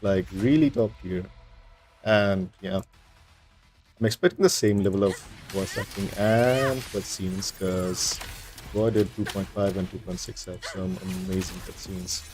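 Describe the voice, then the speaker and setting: A man's voice: deep voice, fairly even intonation, unhurried, darkish tone. A man streaming games, giving casual, rambling, laid-back commentary on his play. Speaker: game streamer. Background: faint game music.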